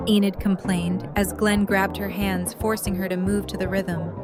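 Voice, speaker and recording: A woman's voice: mid-range voice, flat delivery, normal speed. A woman reading scripted third-person narration for an audio drama story. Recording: music and party chatter behind. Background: music and crowd chatter.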